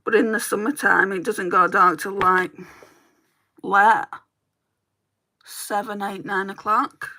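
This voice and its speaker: A woman with a northern English Lancashire accent talks her viewers through her makeup routine, replying to their comments as she goes. Her voice is bright and clear, and she takes her time, chatting at an unhurried pace. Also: medium pitch, fairly even intonation. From Lancashire, England. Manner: chatty, answers chat comments while doing makeup. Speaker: beauty streamer. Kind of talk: casual makeup chat with viewers.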